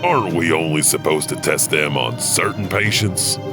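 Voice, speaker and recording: A man's voice: extremely low pitch, moderate pace, music in the background. A man playing a dutiful but uneasy asylum orderly in a horror audio drama, questioning and deferential.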